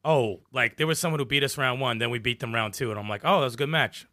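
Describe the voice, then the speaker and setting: A man co-hosting a casual gaming podcast, telling stories in an animated, profane way. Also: mid-range voice, fast talker, no echo, clean studio sound. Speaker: podcast co-host.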